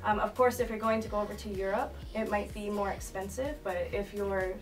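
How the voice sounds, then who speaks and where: A woman's voice: mid-range voice, fairly even intonation, brisk. A young woman from Massachusetts, an office manager, explaining study abroad in an earnest interview manner with occasional fillers. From Massachusetts, USA. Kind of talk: documentary interview. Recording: distant mic with music underneath.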